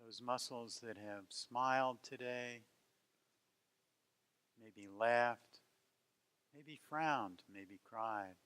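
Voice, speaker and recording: Male meditation guide, medium pitch, gentle and unhurried, leaves long silences between phrases. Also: smooth. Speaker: meditation teacher.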